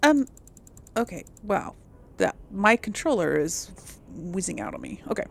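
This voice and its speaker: A woman's voice: mid-range voice, sing-song, moderate pace, creaky, slight background noise. A woman giving casual gameplay commentary, thinking aloud and rambling as she plays. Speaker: gaming video host and player. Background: game ambience.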